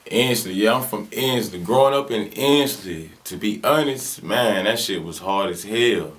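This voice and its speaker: A man's voice: on the low side, expressive, measured pace, a bit bright. A man in his twenties with a Southern US accent, a rapper answering interview questions in laid-back, slangy talk full of fillers. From Birmingham, Alabama. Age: 20s.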